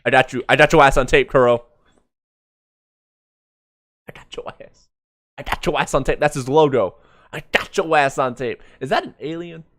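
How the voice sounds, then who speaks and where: A man doing casual live reaction commentary as a streamer, excitable, joking and prone to swearing. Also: mid-range voice, moderate pace, long pauses, dry recording, clean studio sound. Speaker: streamer reacting to a fan motion comic.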